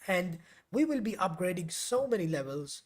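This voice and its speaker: A man who grew up speaking Urdu, chatting casually through a live coding stream. He rambles a little and fills pauses with "uh" as he works and explains to his viewers. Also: sits high, big pitch swings. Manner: chatty and rambling, with frequent fillers and self-corrections.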